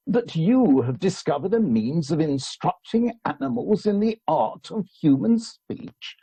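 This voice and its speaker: Man acting a gravelly, incredulous Edwardian baronet. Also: sits high, warm tone, dry recording, exaggerated voice, rough recording. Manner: formal, deliberate. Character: incredulous aristocratic Edwardian country-house host. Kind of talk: amateur radio play acting.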